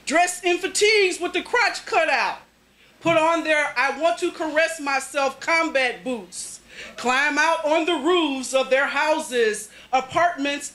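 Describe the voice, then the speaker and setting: A woman performing her poetry live at a reading, with deliberate, dramatic phrasing and pauses between short phrases. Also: sits high, fairly bright tone. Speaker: poet, featured reader at a poetry reading. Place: indoors at a cafe poetry reading. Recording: roomy live cafe recording, faint background noise.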